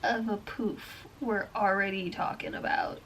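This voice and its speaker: A woman's voice: medium pitch, fairly even intonation, a little slow, fairly bright tone, slight background noise, poor audio. A young woman talking casually while she crochets, thinking aloud with lots of "like" and "um", her voice a bit stuffy from a bad cold. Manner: chatty, thinking aloud, frequent "like" and "um". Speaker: crochet vlogger. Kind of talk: casual explaining while crafting.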